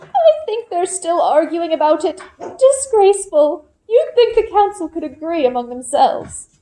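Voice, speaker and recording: Woman acting as elderly village woman, suspicious, scolding, strained high voice, swooping pitch, storybook character. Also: sing-song, unhurried, warm tone, echoey room, exaggerated voice, low-quality recording. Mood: indignant. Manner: scolding, grumbling.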